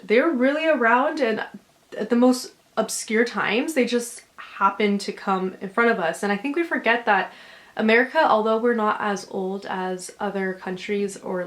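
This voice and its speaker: Young woman host, chatty and soothing, rambles with lots of ums. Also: mid-range voice. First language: English. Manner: chatty, filler-heavy, gently reassuring. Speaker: channel host. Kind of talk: casual chat, reading subscriber stories.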